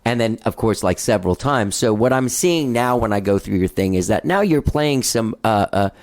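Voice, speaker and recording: A man with a high voice, hosting a loose, rambling interview full of "like" and swearing. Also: lively intonation, normal speed, a little mellow. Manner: rambling, swears freely, many "like" fillers. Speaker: podcast host. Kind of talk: casual interview chat.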